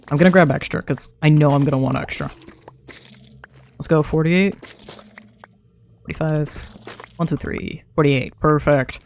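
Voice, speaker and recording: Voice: medium pitch, darkish tone, slight background noise. Chatty streamer with a dry throat. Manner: chatty, talks to self while playing, laughs often. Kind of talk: casual live gameplay commentary.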